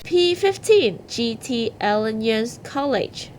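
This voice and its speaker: A woman's voice: a little high, big pitch swings, slow pace, loud music behind the voice, poor audio. A woman hosting an awards ceremony, reading scripted announcements.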